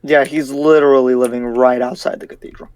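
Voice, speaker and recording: A man's voice: mid-range voice, steady tone, brisk, a little mellow, lightly acted. A man playing a blunt, skeptical, battle-worn demon slayer in an improvised anime game roleplay, speaking frankly and emphatically with repeated, restarted phrases. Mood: wry.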